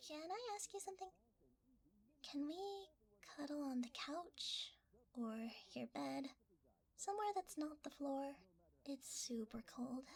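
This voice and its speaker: A woman's voice: high-pitched, creaky, darkish tone, exaggerated voice. A woman voicing a shy, cuddly shapeshifting creature in an ASMR roleplay, hesitant and easily flustered, often half-whispering. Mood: shy, affectionate.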